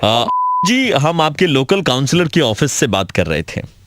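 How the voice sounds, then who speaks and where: A man's voice: medium pitch, big pitch swings, unhurried, fairly bright tone, slight background noise. Man as an earnest, formal local office caller. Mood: politely official. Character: polite, earnest local councillor's office caller, gently moralising.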